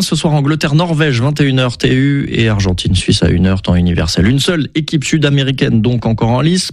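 Brisk male radio news anchor. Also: medium pitch, no echo, clean studio sound. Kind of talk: scripted news presenting. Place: radio studio.

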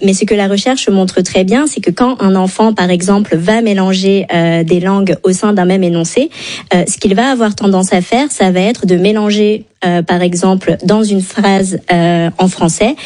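Female studio guest, hesitant delivery, many fillers, mid pitch. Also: darkish tone. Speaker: training project manager, guest. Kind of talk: expert commentary in studio. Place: radio studio.

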